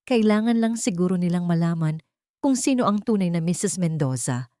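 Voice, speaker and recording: A woman voicing a poised wronged wife in a romance story, calm, clear and polite with a cutting edge. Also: lowish voice, moderate pace, dry recording, clean studio sound, close to an everyday voice. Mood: quiet, composed. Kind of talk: storybook romance drama dialogue.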